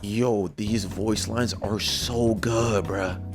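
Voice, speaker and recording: A young man giving casual, slangy reaction commentary as a streamer, excitable and often repeating words. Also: lowish voice, takes it slowly. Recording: music behind the voice.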